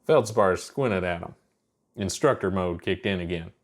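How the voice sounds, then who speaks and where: A man's voice: deep voice, a little slow. A man narrating a scripted fiction story as its reader.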